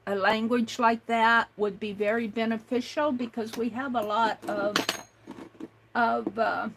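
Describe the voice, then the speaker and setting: Slow, chatty woman, high voice. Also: steady tone, creaky, slight background noise. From United States. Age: older. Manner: slow, chatty, with many fillers and restarts. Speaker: art livestream host. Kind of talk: casual livestream chat reading comments.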